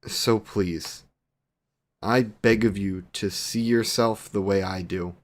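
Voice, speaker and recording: A man's voice: fairly low pitch, fairly even intonation, slow pace. A man playing a devoted, wry vampire boyfriend in a scripted romantic audio roleplay, speaking in ornate, formal phrases. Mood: ardent, reverent.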